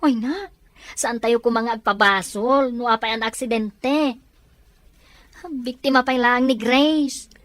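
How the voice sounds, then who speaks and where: A woman voicing a patient male friend who calmly explains and reassures. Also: a little high, slow, no room sound.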